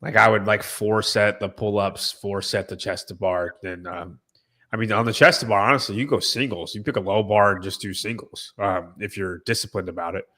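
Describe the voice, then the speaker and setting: Male podcast host, bright tone, stuffy nasal cold, joking coach energy. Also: lowish voice, steady tone, fairly quick. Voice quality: slightly nasal. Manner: teasing, coach-like. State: congested from a cold. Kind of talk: casual chat.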